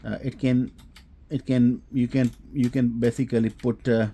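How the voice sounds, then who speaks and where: A man giving an unscripted technical product demonstration, explaining haltingly with frequent hesitations and repeats. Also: medium pitch, little pitch movement, mellow, slightly rough. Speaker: host demonstrating audio equipment.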